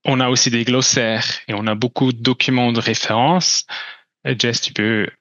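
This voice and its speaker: A man's voice: medium pitch, steady tone, normal speed, fairly bright tone, no room sound, muffled recording. Man presenting hesitantly. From Switzerland. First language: German. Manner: hesitant, many restarts and fillers. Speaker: company presenter. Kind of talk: conference talk with slides.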